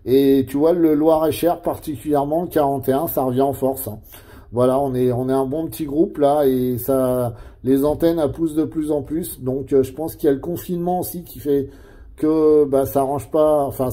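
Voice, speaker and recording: A man's voice: mid-range voice, fairly even intonation, moderate pace, mellow. A middle-aged man chatting casually as a CB radio operator, adding tag words at the ends of phrases.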